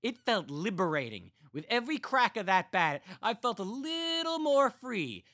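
A man voicing a young jailed killer who talks to his family with bitter contempt and builds to heated shouting. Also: fairly low pitch, expressive, normal speed, no echo, studio quality, pitched above the performer's own voice. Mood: gloating.

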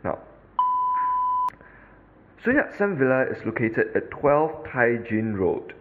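A man's voice: mid-range voice, slow, phone-quality sound, background noise. A man with a Singaporean English accent, narrating a scripted audio tour as a podcast host, in an instructive tone with the odd filler. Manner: instructive, lively, with occasional fillers.

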